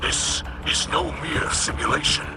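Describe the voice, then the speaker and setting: A man playing a solemn, devout alien elder in a video game, speaking formally and haltingly in a breathy rasp. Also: natural voice. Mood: reverent, warning. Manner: formal, deliberate, halting phrasing. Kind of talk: cinematic video game dialogue. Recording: loud music behind the voice. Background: loud game soundtrack.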